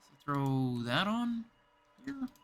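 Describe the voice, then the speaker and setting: A man's voice: on the high side, big pitch swings, slow pace. A man with a Canadian accent, chatting casually as a livestream host and thinking aloud while he plays.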